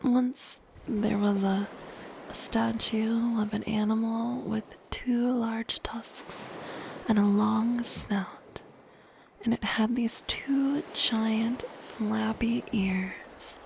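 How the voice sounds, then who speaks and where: Woman acting a slow, whispering, crackly-voiced siren. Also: on the high side, steady tone, exaggerated voice. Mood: wistful, fascinated. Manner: whispery, breathy, slow, gently lilting. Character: lonely, curious female siren, softly menacing man-eater. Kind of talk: soft-spoken ASMR roleplay.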